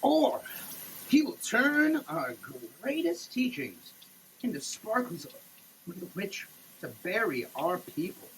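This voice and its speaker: Bright forceful voice, very slow dramatic reading, halting and declamatory, gravelly edge, running water noise behind. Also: medium pitch, big pitch swings, low-quality recording. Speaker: solo sketch performer. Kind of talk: dramatic reading of a product label. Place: in a running shower, indoors.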